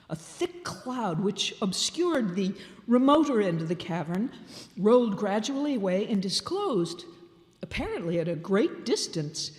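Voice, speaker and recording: An older woman giving a theatrical live reading of a classic tale on a theater stage. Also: medium pitch. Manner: expressive, theatrical narration. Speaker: storyteller. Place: large theater hall on stage. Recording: echoey hall with long reverb.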